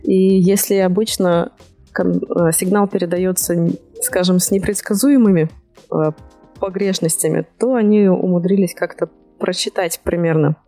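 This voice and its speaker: A woman with a fairly low, soft and mellow voice hosts a technology podcast. She presents news in an explanatory manner, pausing now and then to find her phrasing. Faint music plays behind her. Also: fairly even intonation. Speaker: podcast host. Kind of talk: presenting tech news from notes.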